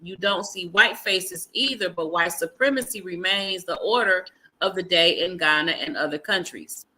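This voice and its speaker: A woman's voice: on the low side, moderate pace, sharp tone, low-quality recording. A woman hosting a livestream discussion, speaking bluntly and emphatically. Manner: blunt, emphatic, talks over points. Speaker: livestream host.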